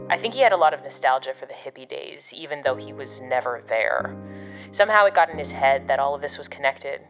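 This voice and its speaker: Audio drama: blunt, swearing government agent, low female voice, slightly muffled recording. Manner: blunt, sardonic. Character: gruff, foul-mouthed, guarded woman agent of a secret department. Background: music.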